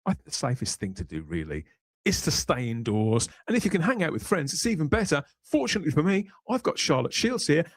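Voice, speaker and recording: A man's voice: a little high, sing-song, fairly quick, no echo. A man hosting a casual panel podcast, chatting in wordy, rambling phrases as he presents and introduces guests. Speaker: podcast host.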